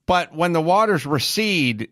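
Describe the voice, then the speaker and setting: A middle-aged man hosting a podcast in a studio, talking into a boom mic and spinning long, comically exasperated hypotheticals. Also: animated, a little slow, a bit bright, no echo. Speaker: host. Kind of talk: casual podcast talk. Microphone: studio boom mic with headphones.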